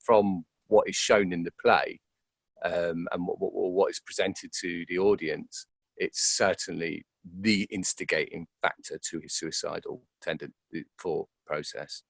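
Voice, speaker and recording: A man's voice: low-pitched, fairly even intonation, unhurried, creaky, no echo. A man giving conversational interview answers as an actor, hesitant and often repeating words as he thinks aloud.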